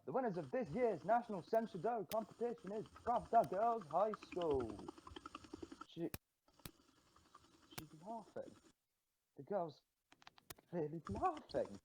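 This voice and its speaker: Man acting tournament announcer, excited, wide swooping pitch, bright, faint background noise. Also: low-quality recording. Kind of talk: loud live sports commentary.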